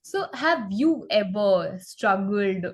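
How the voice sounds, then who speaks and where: A woman English trainer explaining in a measured, encouraging way and checking in with "right?" as she goes. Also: mid-range voice, animated. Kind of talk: conversational interview practice.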